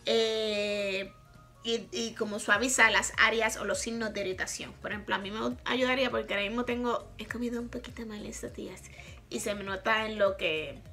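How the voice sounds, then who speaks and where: Woman, Puerto Rican accent, frank chatty review, webcam in a small bedroom, soft music underneath. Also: medium pitch, fairly even intonation, moderate pace. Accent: Puerto Rican Spanish. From Puerto Rico. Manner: chatty, frank, laughs often. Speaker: makeup vlogger. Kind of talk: casual product review.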